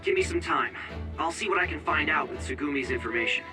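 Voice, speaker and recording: An actor playing an earnest young mission support operator in an anime-style video game, focused and informative. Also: male voice, a little high, fast talker, over music, natural voice. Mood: intent, cautious.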